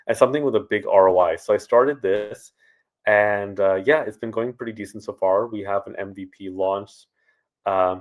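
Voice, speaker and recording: Casting: man, very low voice, easygoing interview guest. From Canada. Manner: relaxed and conversational, many fillers. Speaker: startup founder guest. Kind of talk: casual interview answers.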